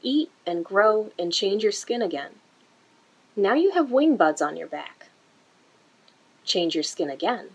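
Slightly husky woman reading a children's book. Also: mid-range voice, poor audio. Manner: expressive, deliberate, addressing young children. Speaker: children's story-time reader for a museum. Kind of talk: read-aloud of a children's picture book. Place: indoor room.

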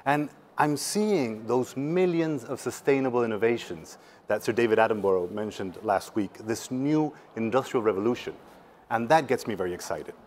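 A man's voice: medium pitch, normal speed, darkish tone, slight background noise. Earnest man. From Patagonia, Chile. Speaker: startup representative, panel speaker. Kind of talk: conference talk, conversational presentation. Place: seated on a conference stage indoors.

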